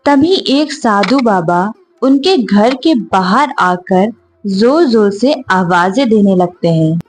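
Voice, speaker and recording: A woman's voice: medium pitch, animated, slow, faint music behind. A woman narrator reading a scripted story for children.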